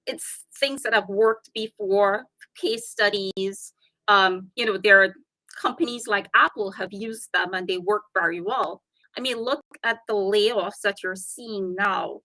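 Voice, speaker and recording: A woman with a softened Trinidadian accent, a guest talking earnestly and explaining her ideas in a casual interview chat. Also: mid-range voice, a little slow, dry recording, low-quality recording.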